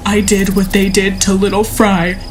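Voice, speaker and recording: A woman playing an unstable, guilt-ridden runaway in an apocalyptic western drama, halting and pleading. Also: medium pitch, flat delivery, lightly acted. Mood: anguished. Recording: faint noise with cricket ambience.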